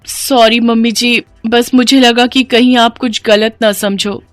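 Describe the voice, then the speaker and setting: A woman voicing an anxious, complaining young bride-to-be in an animated cartoon story. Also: on the high side, unhurried, no room sound, faint music behind, voice pushed up, natural voice. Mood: polite, uneasy. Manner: fretful, complaining.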